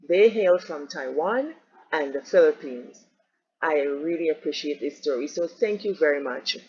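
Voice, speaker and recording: A woman from Guyana hosting an interview, telling a story and welcoming her guests with lively exclamations. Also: fairly low pitch. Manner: expressive, rising exclamations, many short backchannels. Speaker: host and interviewer. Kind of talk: warm storytelling introduction and interview. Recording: dull lo-fi sound.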